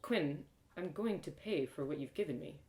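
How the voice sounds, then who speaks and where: A woman voicing a plucky, polite young heroine, wry and expressive, in a storybook reading. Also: low-pitched, moderate pace, echoey room, slight background noise, pitched below the performer's own voice, low-quality recording. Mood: earnest.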